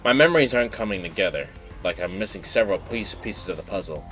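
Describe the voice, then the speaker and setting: A man's voice: lowish voice, lively intonation, quick pace, a bit bright. A man doing casual live stream commentary while reading game text aloud, with offhand asides. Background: game music.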